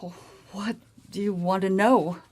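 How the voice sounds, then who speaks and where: A woman's voice: medium pitch, steady tone, unhurried, darkish tone, natural voice. A woman playing a nervous payroll clerk in a radio mystery, speaking politely, hesitantly and evasively. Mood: nervous, guarded. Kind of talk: radio mystery drama acting.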